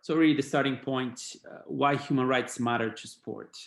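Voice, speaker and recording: Italian-accented man, gravelly, hesitant with many fillers, video call panel. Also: mid-range voice, normal speed, a bit bright. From Italy. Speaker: panelist, head of policy at a sports human rights body. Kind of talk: online panel talk with slides.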